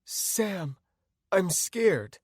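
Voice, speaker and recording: A fragile young woman, played by a male narrator, frightened and pleading. Her voice is lifted high and tremulous, with slow, swooping phrases in a dramatic fantasy story. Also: very animated, breathy edge, no echo, studio quality, full character voice. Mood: scared.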